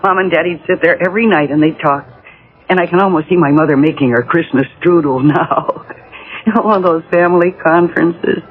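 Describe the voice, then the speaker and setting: A woman's voice: lowish voice, moderate pace, lightly acted. An actress playing a sweet, wistful young woman in a 1980s radio drama, dreamy and polite. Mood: wistful, charmed. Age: young adult. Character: sweet, wistful young woman revisiting her childhood home. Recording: narrow-band vintage radio broadcast.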